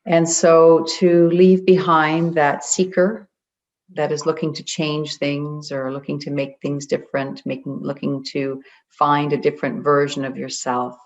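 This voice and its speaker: A meditation guide leading a group in a gentle, deliberate way, leaving long pauses between phrases. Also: medium pitch, little pitch movement. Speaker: meditation guide, spiritual coach. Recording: dull, muffled lo-fi audio.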